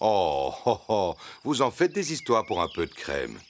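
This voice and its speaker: An actor playing a jolly, easygoing daddy pig who chuckles good-naturedly in a cartoon. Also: male voice, fairly low pitch, wildly swooping pitch, unhurried, slight background noise, heavily acted. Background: cartoon music.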